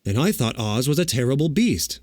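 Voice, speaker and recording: A man voicing a heartfelt, demanding tin woodman in a storybook, exclaiming forcefully. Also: mid-range voice, sing-song, fairly quick, dry recording, studio quality. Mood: dismayed.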